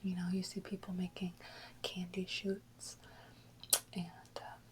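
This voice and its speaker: A woman whispering softly and unhurriedly in a casual ASMR chat, close to the microphone. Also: fairly low pitch, monotone, measured pace, rough recording. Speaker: ASMR video host.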